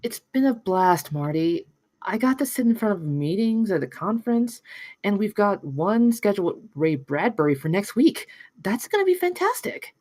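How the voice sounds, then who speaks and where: A woman's voice: medium pitch, big pitch swings, normal speed, darkish tone. A woman reading the part of a frank, earnest writer at a screenplay table read. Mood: enthusiastic. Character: frank, earnest female writer colleague named Peggy.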